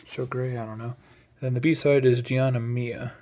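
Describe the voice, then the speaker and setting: A man presents his collection in a loose, unscripted way. His pitch stays fairly flat and even. He rambles a little and drifts into hesitant asides, like someone talking casually through items one by one. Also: normal speed. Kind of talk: casual unscripted show-and-tell.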